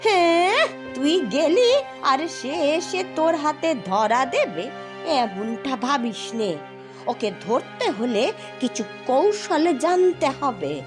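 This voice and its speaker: Shrill, bossy old village granny. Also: female voice, big pitch swings, music in the background, exaggerated voice. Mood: warning, instructive. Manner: scolding, emphatic, drawn-out warnings. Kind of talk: exaggerated Bengali cartoon voice acting.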